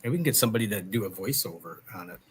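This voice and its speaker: A man's voice: on the low side, expressive, racing, noisy background. A man co-hosting a casual livestream chat show, joking and self-deprecating in banter with his co-host.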